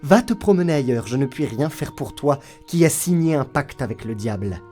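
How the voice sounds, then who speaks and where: A man's voice: medium pitch, lively intonation, moderate pace, dark tone, soft background music, close to an everyday voice. A man playing a stern, scolding saint who guards heaven in a French audio drama, reproachful and dismissive.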